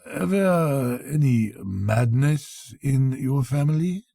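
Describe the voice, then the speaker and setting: A man voicing an odd, shabby little doctor who mumbles slowly and speaks in a calm, matter-of-fact way. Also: medium pitch, slightly rough, dry recording, clean studio sound, heavily acted. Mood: calm, probing.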